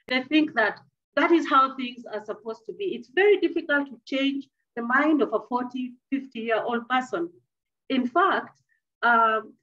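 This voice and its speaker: A middle-aged woman giving an emphatic, deliberate motivational address over a video call from a small office. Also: high voice, a bit bright. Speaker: speaker addressing youth at a conservation dialogue. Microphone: computer mic on a video call. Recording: dull, lo-fi video call audio.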